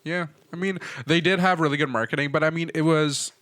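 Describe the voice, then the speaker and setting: Man giving measured opinions on a podcast. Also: a little high, fairly even intonation, fairly bright tone, no room sound. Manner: measured, hedging opinions with "you know" and "like". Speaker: podcast co-host. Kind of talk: casual chat.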